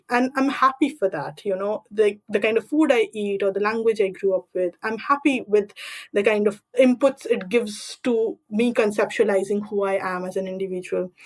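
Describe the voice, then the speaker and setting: A young woman from India with an Indian accent, speaking as an interview guest in an articulate, reflective and explanatory way. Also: medium pitch. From Delhi, India. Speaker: podcast guest, doctoral researcher.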